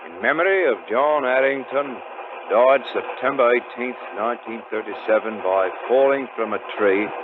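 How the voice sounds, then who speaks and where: Actor as a wondering passenger, old noisy radio. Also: male voice, mid-range voice, normal speed, lightly acted. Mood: baffled reading aloud. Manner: colloquial, wondering. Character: startled working-class British train passenger. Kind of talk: 1940s radio acting. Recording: muffled, noisy 1940s radio recording.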